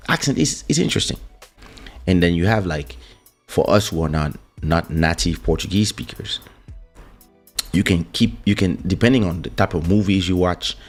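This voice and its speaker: A man with a French accent hosting a casual livestream, talking loosely with fillers and restarts while greeting his viewers. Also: low-pitched. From France. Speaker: livestream host and musician. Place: home streaming setup in Portugal. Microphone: studio desk microphone.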